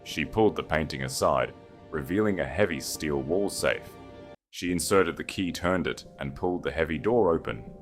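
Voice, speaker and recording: A man's voice: a bass voice, normal speed, soft background music. A man narrating a scripted story as a dramatic audiobook reader.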